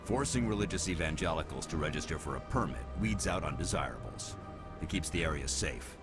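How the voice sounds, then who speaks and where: A man's voice: extremely low pitch, lively intonation, brisk, over loud music. A man playing a stern, officious alien security officer in a video game, formal and by the book. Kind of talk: game voice acting.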